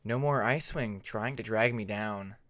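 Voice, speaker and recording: A man's voice: lowish voice, moderate pace, fairly bright tone, no echo, pitched below the performer's own voice, close to an everyday voice. A man voicing an earnest, anxious young male dragon student in an audiobook, speaking sincerely.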